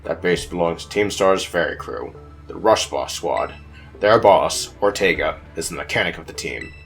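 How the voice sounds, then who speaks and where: Guy doing a shadowy boss-on-the-phone type for a game, deep n quiet, measured, all business. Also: expressive, creaky, soft background music, exaggerated voice. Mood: calm, ominous. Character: secretive, calm mastermind briefing the player.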